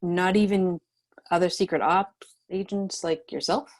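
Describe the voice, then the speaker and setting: A woman's voice: fairly low pitch, steady tone, a little slow. A woman playing a wary, assertive leader of refugees, direct and questioning, in a screenplay table read. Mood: businesslike.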